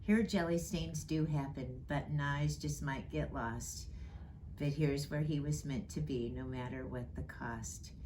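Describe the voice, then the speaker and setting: Low-voiced woman reading a storybook, noisy background. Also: steady tone, a little slow, mellow. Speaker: storybook reader in character as Mrs. Claus. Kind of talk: scripted children's storybook narration in rhyming verse.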